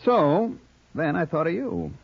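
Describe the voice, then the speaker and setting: An American actor playing a sleazy, wisecracking private detective and blackmailer in an old radio crime drama, with a husky voice. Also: male voice, on the high side, huge pitch swings, a little slow, full character voice. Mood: sly, insinuating. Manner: wisecracking, insinuating slang. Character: sleazy male private detective, brazen blackmailer, needling and slangy. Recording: muffled old radio recording.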